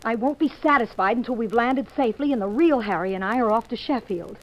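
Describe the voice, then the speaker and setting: Actress as anxious genteel woman, somewhat high pitch, fretful, vintage radio mystery, muffled recording. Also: fast, close to an everyday voice. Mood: worried. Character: anxious, polite married woman fearing danger aboard ship. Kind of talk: mid-century radio drama acting. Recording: dull old radio recording.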